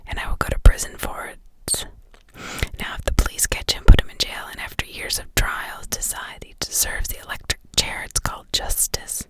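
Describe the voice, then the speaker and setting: A woman's voice: normal speed, a bit bright, close to an everyday voice. Whispered, pressing defense lawyer read by a woman. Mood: persuasive. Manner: pressing, rhetorical questioning. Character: male defense lawyer, pointed and theatrical.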